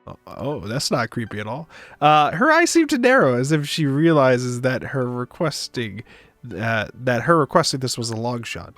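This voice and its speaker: A man's voice: mid-range voice, sing-song, moderate pace, a bit bright, no echo. A man narrating a visual novel aloud for a stream, with casual asides. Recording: music behind the voice. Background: music.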